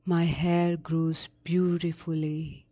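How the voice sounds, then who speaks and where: A woman narrating a guided sleep meditation in a soothing, unhurried manner with long pauses between short instructions. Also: deep voice, little pitch movement, mellow.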